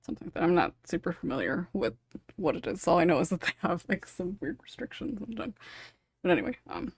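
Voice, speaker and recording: Husky, giggly woman hosting, close and dry. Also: on the low side, big pitch swings, brisk, no room sound. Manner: giggly, laughs often between remarks. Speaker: podcast host. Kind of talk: casual group podcast chat.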